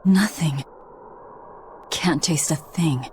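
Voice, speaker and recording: A woman's voice: deep voice, very slow, darkish tone, a little hiss or hum. An actress playing a guarded, blunt young demon woman in a game, speaking curtly. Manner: curt, blunt.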